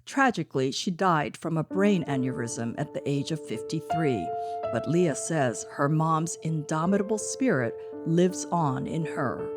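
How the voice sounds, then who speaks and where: A middle-aged woman correspondent narrating a scripted news feature with measured delivery. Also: sits low, animated, over music. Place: living room set.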